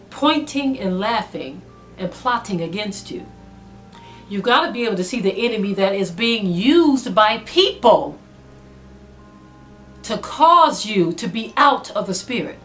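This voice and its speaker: A woman preaching a sermon to an online audience, earnest and exhorting, slowing down to stress her points. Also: medium pitch, a bit bright. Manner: earnest, exhorting, slow and emphatic with rising intensity. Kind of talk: spoken sermon to a video audience.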